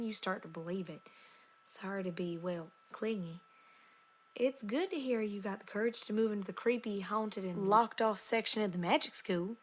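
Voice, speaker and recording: A woman's voice: medium pitch, natural voice. A woman voicing an earnest country farm pony, plainly reading a letter aloud. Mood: apologetic, sincere. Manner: folksy, plainspoken. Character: earnest, down-to-earth young country farm mare, cartoon pony.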